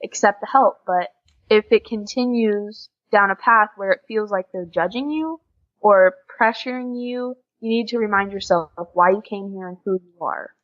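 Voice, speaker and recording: A woman's voice: medium pitch, normal speed. Young american woman chatting on a podcast, earnest and encouraging, kinda muffled lo-fi audio. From United States. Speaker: podcast guest, exchange student. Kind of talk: casual conversational interview. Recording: dull lo-fi podcast recording.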